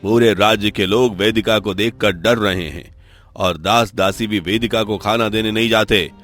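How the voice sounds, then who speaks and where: Man playing a calm worried king. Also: fairly low pitch, moderate pace, soft background music, natural voice. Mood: concerned. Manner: calm, courteous.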